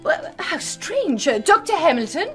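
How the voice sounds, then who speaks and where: A woman's voice: very high-pitched, very animated, unhurried, faint music behind, exaggerated voice. An actress in a period radio drama playing an anxious, kindly eighteenth-century farmer's wife, polite and earnest in her speech. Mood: relieved, then puzzled.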